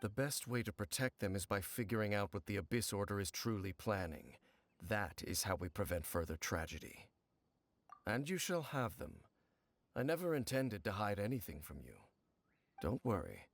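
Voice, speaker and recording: An actor playing a grave, aloof, world-weary swordsman in a video game, speaking formally and with measured solemnity. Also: on the low side, big pitch swings, no room sound. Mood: measured, serious.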